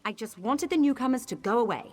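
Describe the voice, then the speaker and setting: A woman's voice: on the high side, expressive, quick pace, a little mellow. An actress playing a haughty, intolerant elf woman in a fantasy video game, speaking formally and theatrically. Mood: indignant, defensive. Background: background music.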